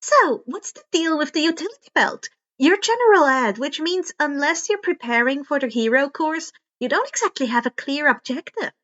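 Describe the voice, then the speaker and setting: A woman's voice: high-pitched, animated, normal speed, hardly any pauses, fairly bright tone, dull audio, voice pushed up. A woman voicing an eccentric, curious teenage girl inventor with a perky, casual, teasing manner in a story reading. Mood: curious, probing.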